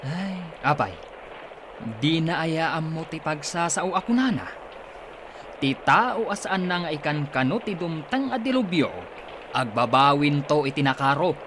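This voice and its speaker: An actor playing a sensible, admonishing older brother in a Filipino village radio drama. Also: male voice, a little high, animated, measured pace, noisy background.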